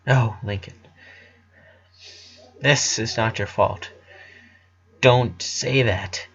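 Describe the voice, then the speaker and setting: An anguished teenage rocker girl, acted out by a man, hurt and quick to blame herself. She speaks with pained emphasis, as in a dramatic storybook reading, on a slightly dull recording. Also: medium pitch, vocal fry, a bit bright, voice pushed down, heavily acted. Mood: tender, pained.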